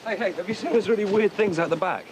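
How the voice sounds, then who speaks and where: A man playing an excitable young man who rambles and repeats himself in a TV sketch comedy. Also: high voice, fast talker, a crowd in the background, close to an everyday voice. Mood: rambling. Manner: hyper and rambling.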